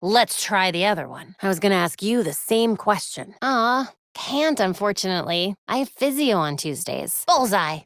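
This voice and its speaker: A woman voicing a young crime-fighting superheroine in a video game, with an American accent and a wry, crisp, determined delivery. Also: medium pitch, expressive, moderate pace, few pauses, no room sound, clean studio sound, close to an everyday voice. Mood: dry, businesslike. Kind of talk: scripted video game voice lines. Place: recording studio.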